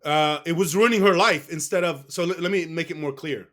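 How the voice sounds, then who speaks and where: Man, somewhat high voice, guest in a casual interview, rambling, restarts a lot. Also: a little fast, fairly bright tone. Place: West.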